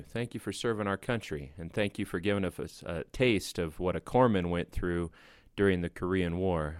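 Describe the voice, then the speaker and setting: Man, interview host, earnest, respectful, lowish voice, dry sound. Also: clear, resonant tone, dry recording. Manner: earnest, warm and respectful questioning. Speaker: host of a veteran profile show. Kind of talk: broadcast interview.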